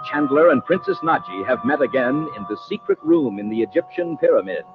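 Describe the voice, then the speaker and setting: A man's voice: medium pitch, animated, a little fast. A man giving scripted, dramatic narration as announcer of an old-time radio serial. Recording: dull old radio recording with music. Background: music.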